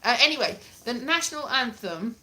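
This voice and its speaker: A woman, perhaps in her late thirties, chatting casually to camera in an animated, rambling, dryly jokey way. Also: on the high side, brisk, slight background noise. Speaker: vlogger, language learner. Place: small plain room indoors.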